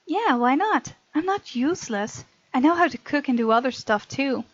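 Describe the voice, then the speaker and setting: A woman's voice: sits high, expressive, normal speed, dry recording, lo-fi sound. A woman from the Netherlands, reading a visual novel aloud as let's play narration with casual asides.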